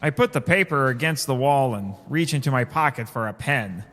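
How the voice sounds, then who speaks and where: A man with a theatrical, excitable delivery, acting and narrating a scripted first-person drama. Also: medium pitch, moderate pace, faint music behind. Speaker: host and narrator of a fiction podcast.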